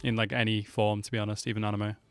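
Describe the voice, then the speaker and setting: A man's voice: lowish voice, level tone, quick pace. A man in his twenties giving casual, slangy reaction commentary, swearing freely, close on a desk microphone. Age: 20s. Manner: slangy, laid-back, swearing. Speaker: reaction video host. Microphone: close desk microphone with headset. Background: game trailer audio with music.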